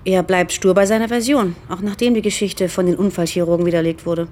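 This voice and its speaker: An actress playing a businesslike, dry, persistent police detective in a naturalistic radio drama. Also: female voice, fairly low pitch, steady tone, fairly quick, darkish tone, lightly acted.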